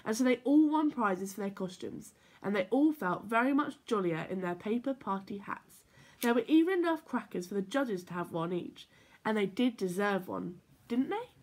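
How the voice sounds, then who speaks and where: A woman reading a children's story aloud in an expressive, playful, emphatic way. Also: mid-range voice, normal speed. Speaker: story time reader for children.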